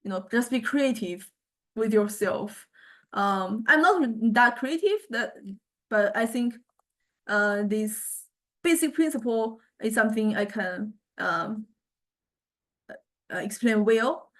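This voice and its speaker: A woman with a fairly high voice gives an informal software lecture. She thinks aloud as she goes, explaining things tentatively and in halting phrases, like an instructor walking a class through a demo. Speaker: music technology instructor. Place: recorded software lesson.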